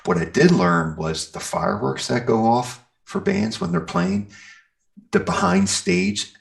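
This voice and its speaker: A man's voice: mid-range voice, a little slow, vocal fry. A man chatting casually and enthusiastically about life in a band, in an informal, rambling way. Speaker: guest, metal band singer and guitarist. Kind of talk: casual chat, telling band anecdotes.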